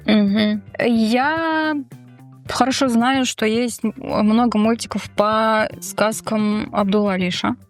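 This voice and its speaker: A woman with a fairly high voice chats casually as a co-host. She thinks aloud as she talks, with music playing behind her. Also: steady tone, slow pace. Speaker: co-host guessing in a quiz. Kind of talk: casual chat.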